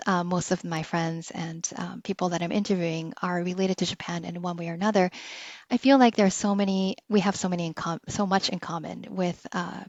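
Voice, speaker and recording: A woman's voice: lowish voice, gravelly, no echo. A woman hosting a podcast, talking in a casual, reflective monologue. She speaks earnestly, with frequent "um"s and small laughs. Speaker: podcast host. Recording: dull, slightly lo-fi dry recording.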